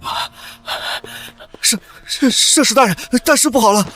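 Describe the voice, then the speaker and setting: A man voicing an agitated henchman of an evil cultivation sect in an animated fantasy drama. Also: very high-pitched, a little slow, exaggerated voice. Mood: panicked, deferential. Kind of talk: animated xianxia drama dubbing.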